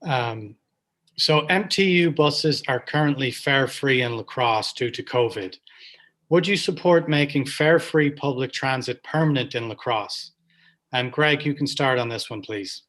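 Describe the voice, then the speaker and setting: A man moderating a forum, reading out a question in a quiet, hesitant way on a video call. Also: medium pitch, a little slow. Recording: voice far from the mic.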